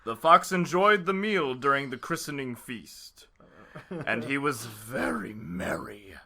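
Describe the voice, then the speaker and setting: A man's voice: medium pitch, expressive, a little slow, vocal fry, pushed and bright. A man hosting a casual, parodic story reading, switching between chat and narration. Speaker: host, story reader.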